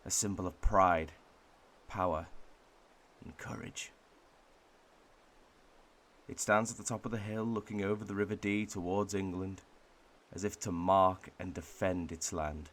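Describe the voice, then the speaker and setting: A man playing a wistful Welshman, delivering a pensive, measured monologue in a radio drama. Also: sits low. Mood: proud, reflective. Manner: pensive, measured, with thoughtful pauses. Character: wistful Welshman reflecting on his home village and heritage.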